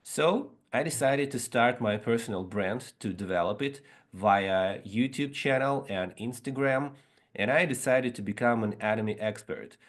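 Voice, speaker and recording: A man in his thirties whose first language is Russian, giving an earnest motivational seminar talk over a video call, measured and pausing often. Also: mid-range voice. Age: 30s. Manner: earnest, measured, with frequent pauses and fillers. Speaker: seminar speaker.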